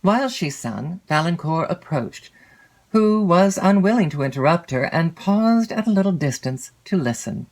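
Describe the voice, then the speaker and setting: A woman reading literary fiction as an audiobook narrator, in a measured, expressive storytelling manner. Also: lowish voice. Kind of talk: scripted literary narration of a classic novel.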